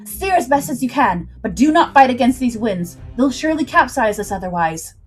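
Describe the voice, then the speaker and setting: A fierce female pirate captain from an adventure storybook , as a woman narrator plays her. Her voice is fairly high, with a sharp, commanding, authoritative edge, and music plays softly behind. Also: lively intonation, moderate pace, lightly acted. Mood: urgent, commanding.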